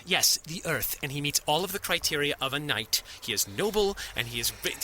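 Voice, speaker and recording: A man's voice: a little high, animated, a little fast, bright, forceful tone, a little hiss or hum. A man playing an exasperated, defensive and fiercely independent agent in an audio drama, speaking emphatically.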